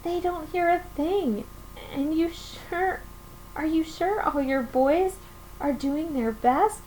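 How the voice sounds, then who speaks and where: A woman's voice: sits high, slow, a little mellow, slight background noise, rough recording. A woman voicing a kind, steadfast male elephant in a storybook, urgent, pleading and encouraging. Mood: worried, urging.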